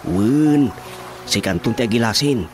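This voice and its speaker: An actor with an Ilocano accent playing a boastful, excitable husband and councilman in a radio comedy drama. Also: mid-range voice, a little slow, close to an everyday voice. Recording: music behind the dialogue.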